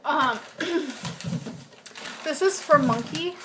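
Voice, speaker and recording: High-voiced young woman chatting in her kitchen. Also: drawn-out words, background noise. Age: young adult. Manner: chatty, reads out nutrition numbers in a flat list. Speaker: vlogger. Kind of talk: casual grocery haul vlog. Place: home kitchen, indoors. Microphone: built-in webcam mic at desk distance.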